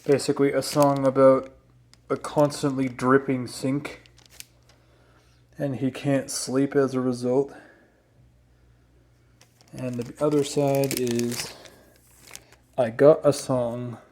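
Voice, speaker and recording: Male collector, casual show-and-tell. Level, low-variation intonation, unscripted and rambling, with hesitant asides. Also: little pitch movement, takes it slowly, long pauses, darkish tone. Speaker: record collector presenting a collection.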